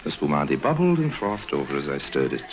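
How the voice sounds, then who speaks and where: Casting: urbane, insinuating swindler-narrator, deep-pitched, wry confidential delivery, vintage radio sound. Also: male voice, wildly swooping pitch, fairly quick, full character voice. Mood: sly, confiding. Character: suave middle-aged scheming swindler, smooth charmer and narrator. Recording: vintage radio recording with faint music.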